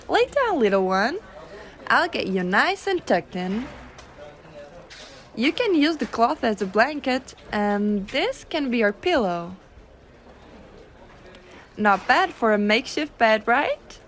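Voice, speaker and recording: A woman playing a gentle, teasing giantess secretary in an ASMR roleplay, coaxing and cooing softly. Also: fairly high pitch, a little hiss or hum, full character voice. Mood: doting, teasing. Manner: soft coaxing, cooing, unhurried.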